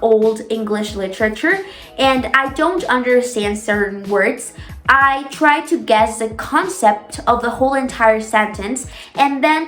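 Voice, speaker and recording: Young woman vlogger, native spanish speaker, highish voice, chatting tips to camera, roomy echo. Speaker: vlogger giving language-learning tips. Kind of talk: casual talk to camera. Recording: echoey room.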